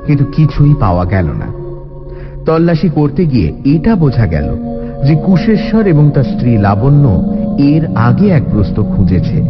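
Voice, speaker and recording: A man giving an expressive scripted narration of a detective story. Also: medium pitch, highs cut off. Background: background music.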